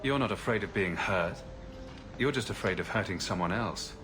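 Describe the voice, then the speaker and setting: A man's voice: on the low side, moderate pace, music in the background, close to an everyday voice. A man playing a sympathetic, direct adventurer hero in a video game, sincere and probing.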